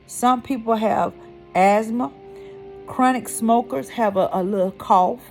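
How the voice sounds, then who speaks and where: Blunt, cussing woman with a gravelly edge. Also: on the high side, measured pace, darkish tone, faint music behind. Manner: blunt, heated, swears freely, repeats for emphasis. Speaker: vlogger. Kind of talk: casual ranting vlog.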